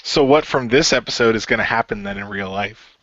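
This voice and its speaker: Bright, forceful man chatting casually. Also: lowish voice, fairly quick, no room sound, lo-fi sound. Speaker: radio play cast member. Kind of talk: casual chat.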